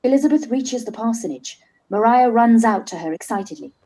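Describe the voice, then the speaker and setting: Brief: stage-direction narrator, woman, warm and husky. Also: a little high, lively intonation, fairly quick, poor audio.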